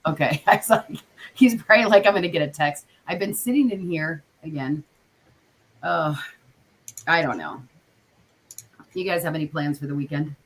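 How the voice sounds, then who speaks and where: A woman co-hosting a podcast, hoarse from a chest cold, with a naturally low voice; she rambles, giggles and trails off with "I don't know". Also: moderate pace, pauses often. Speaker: podcast co-host. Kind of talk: casual chat.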